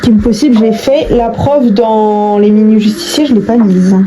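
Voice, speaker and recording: A woman's voice: on the high side, steady tone, normal speed, over music, low-quality recording. A young adult woman chatting casually in slangy, informal language, thinking aloud as she crafts. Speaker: online video creator. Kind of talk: casual chat while crafting.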